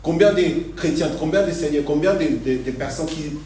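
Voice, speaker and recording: Male pastor, stop-start delivery with frequent laughs, mellow voice; distant mic in an echoey church hall. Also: fairly high pitch, a little hiss or hum. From Argentina. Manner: halting, repeats words, laughs often. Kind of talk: sermon.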